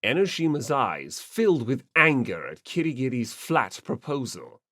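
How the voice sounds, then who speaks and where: A man narrates a scripted story for an audiobook. His pitch is middling and the sound is dry, with no room around it. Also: very animated, moderate pace, fairly bright tone, no room sound.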